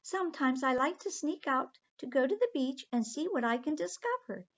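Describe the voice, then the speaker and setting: A woman doing a tiny high-voiced mermaid girl. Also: expressive, normal speed. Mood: cheerful. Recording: dull lo-fi recording.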